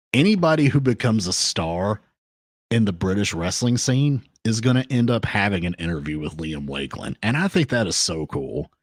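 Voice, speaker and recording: A man hosting a solo podcast, talking off the cuff with hyped banter and shout-outs to his chat, into a compressed studio microphone. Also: low-pitched, no room sound. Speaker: podcast host.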